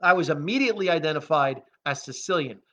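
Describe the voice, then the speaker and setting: Bright, forceful American man, lo-fi audio. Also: a little high, expressive, quick pace. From United States, raised by Italian immigrants. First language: Italian. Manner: opinionated, emphatic, conversational. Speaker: host of a commentary vlog. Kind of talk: off-the-cuff news commentary. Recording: dull lo-fi audio.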